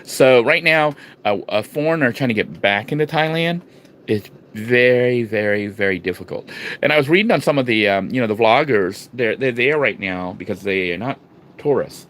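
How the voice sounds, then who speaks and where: A man from the United States talking casually to his vlog viewers, rambling with restarts and fillers and swinging between low murmurs and sudden emphatic bursts. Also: animated, moderate pace, a bit bright. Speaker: vlogger. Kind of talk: casual vlog talk to camera.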